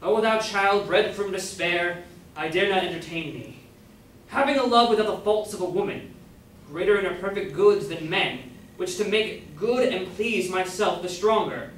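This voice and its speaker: A man's voice: high voice, animated, moderate pace, a bit bright. A young man, a student, playing an ardent, brooding lover in verse in an amateur staged reading. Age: young adult. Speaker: student actor. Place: college room, staged reading. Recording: very echoey room, far off, faint noise.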